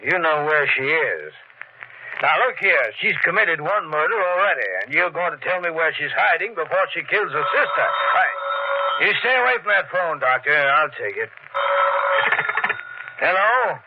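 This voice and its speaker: Man as blunt, high-ish-voiced radio sheriff. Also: expressive, normal speed, natural voice. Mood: accusing, commanding. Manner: folksy, blunt, accusing. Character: gruff, skeptical, pushy small-town sheriff. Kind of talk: 1940s radio mystery acting. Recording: muffled, faintly noisy 1940s radio recording.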